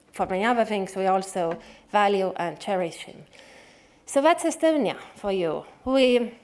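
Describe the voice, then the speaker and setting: A woman introducing the next speaker at a conference, in a conversational, lively way with small slips. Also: on the high side. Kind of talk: informal introduction speech.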